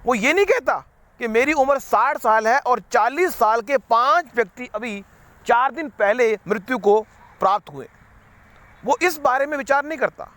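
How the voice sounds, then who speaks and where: A man's voice: very high voice, fairly bright tone. A man giving an unscripted spiritual talk, rambling and repeating himself, slipping English words into his sentences. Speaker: spiritual speaker.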